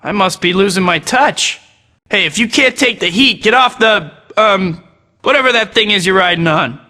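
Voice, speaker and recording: A sarcastic young web-slinging hero from a video game, firing off quips at his foes. His voice sits a bit high for a man and sounds bright and crisp, with a long reverb trailing each line. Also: expressive, normal speed. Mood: taunting. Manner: quippy, sarcastic banter. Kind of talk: scripted game voice acting.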